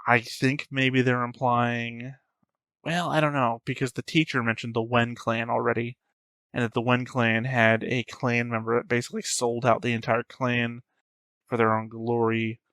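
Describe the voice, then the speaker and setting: A man giving unscripted reaction commentary as a host, rambling as he thinks out loud. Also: mid-range voice, level tone, moderate pace, smooth, no room sound.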